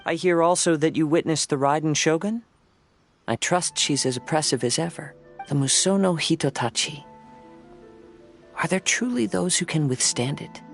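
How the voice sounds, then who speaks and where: A young wandering swordsman from a video game, acted by a man, with a fairly high male voice. He is composed and formal, weighing his words gravely, with soft music behind. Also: moderate pace, natural voice. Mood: solemn.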